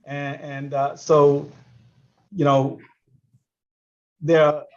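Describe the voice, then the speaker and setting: A man in his sixties from the Bahamas with a Bahamian accent, telling a story as a panel guest, animated and often repeating his words. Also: fairly high pitch, very slow. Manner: animated, repeats words, laughs between phrases. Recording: muffled lo-fi audio.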